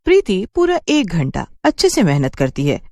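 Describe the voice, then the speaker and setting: A woman's voice: on the low side, huge pitch swings, normal speed, darkish tone, dry recording, clean studio sound. A woman telling a scripted cartoon story as its narrator.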